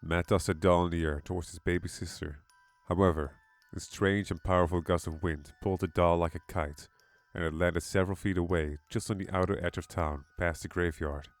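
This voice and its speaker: A man's voice: sits low, fairly even intonation, a little fast, hardly any pauses, dry recording, soft background music. A man reading a scripted horror story as its narrator.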